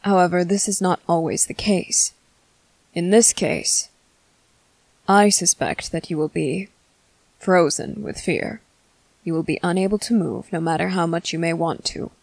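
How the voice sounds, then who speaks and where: A woman voicing a composed, menacing male vampire commander in an ASMR roleplay, speaking formally and deliberately with dramatic pauses. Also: lowish voice, creaky, darkish tone, no room sound. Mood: calm, ominous. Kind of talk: ASMR roleplay monologue to the listener.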